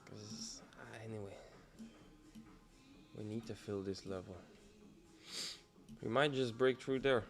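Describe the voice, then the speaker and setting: Young man, lowish voice, relaxed livestream host musing to himself over faint music. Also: slow pace, long pauses. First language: Dutch. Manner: casual, loose, musing half to himself. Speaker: trading livestreamer. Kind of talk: live-stream commentary, thinking aloud.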